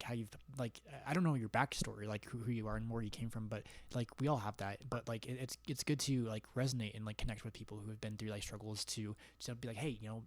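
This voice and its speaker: A man's voice: mid-range voice, dry recording. A man hosting a podcast, speaking in an encouraging, casual way and rambling with fillers. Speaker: podcast host. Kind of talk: casual interview talk.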